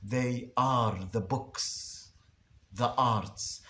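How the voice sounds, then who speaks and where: A man delivers classical verse like a stage actor in a roomy, echoing space. His pace is slow and deliberate, with dramatic pauses and emphatic swells. His pitch sits in an ordinary male middle range. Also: a bit bright. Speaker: actor reciting a Shakespeare monologue. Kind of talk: theatrical Shakespearean verse recitation.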